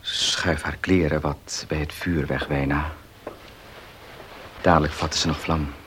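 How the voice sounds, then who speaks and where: An actor in a Dutch radio drama playing a stubborn, embittered farmer, with a gruff, earnest, slightly husky way of speaking. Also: male voice, rumbling low, steady tone, unhurried, fairly bright tone, a little hiss or hum. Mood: sombre.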